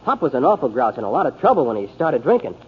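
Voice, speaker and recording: A man's voice: mid-range voice, very animated, quick pace, lightly acted. A young man playing a brash Chinese-American son on the fishing docks, casual and slangy, in 1940s radio style. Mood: matter-of-fact. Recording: dull, hissy 1940s radio recording.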